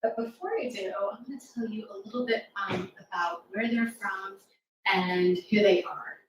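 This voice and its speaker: A woman's voice: medium pitch, normal speed, recorded from a distance. A middle-aged woman with an American accent gives an informal talk for children in a teacherly manner. She is heard a little way from the mic in an echoey room, with faint noise. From New York City suburbs, United States. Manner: teacherly, checking in with "right?". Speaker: children's author and former teacher.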